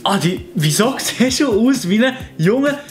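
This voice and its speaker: Young man, Fribourg Swiss German accent, sarcastic host, high-pitched, faint music behind. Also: lively intonation, unhurried. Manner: mocking, sarcastic. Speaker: YouTuber host. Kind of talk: satirical commentary.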